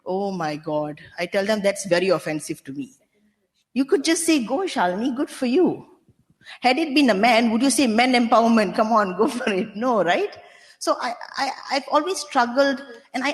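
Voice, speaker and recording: A woman's voice: a little high, animated, normal speed, big-room sound. A middle-aged woman from Malaysia speaking candidly and emphatically on a conference panel, with frequent restarts and "you know". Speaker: panelist, senior legal counsel. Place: conference hall.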